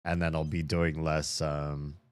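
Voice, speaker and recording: A young man giving casual, profane running commentary while playing a video game, his voice slightly hoarse. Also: very deep voice, little pitch movement, unhurried, dry recording. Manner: chatty, swears a lot, talks to himself while playing.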